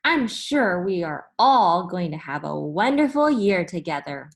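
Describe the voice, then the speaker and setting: A woman's voice: fairly low pitch, sing-song, a little slow, creaky, exaggerated voice. A woman voicing a cheerful, welcoming male school principal in a storybook, with a hearty, emphatic delivery.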